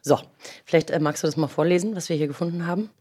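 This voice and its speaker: A woman's voice: fairly low pitch, fast talker, a little mellow. A woman in the role of a hotel chambermaid, narrating and chatting casually on location. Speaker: hotel chambermaid guiding a project. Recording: faint background noise.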